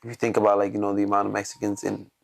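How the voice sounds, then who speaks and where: Low gravelly young male host, slangy chat. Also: monotone, racing. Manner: easygoing, slangy, heavy on fillers. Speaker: interview host. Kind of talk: casual interview. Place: studio.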